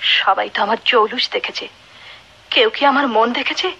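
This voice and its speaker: A woman's voice: on the high side, moderate pace, vocal fry, sharp tone, breathy edge, exaggerated voice. An actress with a Bangladeshi accent playing a proud, flirtatious glamorous woman who hides her sorrow, theatrical and defiant in a radio drama. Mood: defiant, teasing. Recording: dull, noisy old radio recording.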